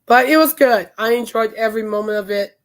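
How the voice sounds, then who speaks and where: A woman hosting a podcast in casual rambling chat, her voice hoarse and raspy from being sick, telling stories with enthusiasm. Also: on the high side, fairly quick. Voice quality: raspy, worn throat. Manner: chatty, enthusiastic storytelling. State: hoarse, voice shot from illness. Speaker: podcast host.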